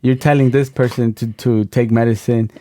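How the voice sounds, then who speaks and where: A man's voice: mid-range voice, steady tone, moderate pace, dark tone, no echo. Man, podcast host, stammers and restarts, dry close sound. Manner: stammers and restarts, slips Spanish words into English. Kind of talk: casual storytelling chat.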